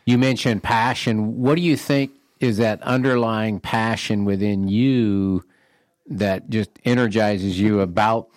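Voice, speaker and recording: A man's voice: lowish voice, steady tone, unhurried, darkish tone, dry recording. A man hosting an interview, encouraging his guest with short affirmations and asking follow-up questions. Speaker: podcast host, real estate broker. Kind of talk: conversational interview.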